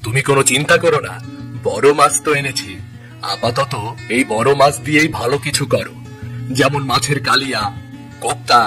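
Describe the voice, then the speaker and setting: Man acting gentle doting father over music. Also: mid-range voice, moderate pace, long pauses, lightly acted. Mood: reassuring. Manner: soothing, indulgent, affectionate. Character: gentle, doting village father of three daughters. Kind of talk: cartoon voice acting. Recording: music under the voice.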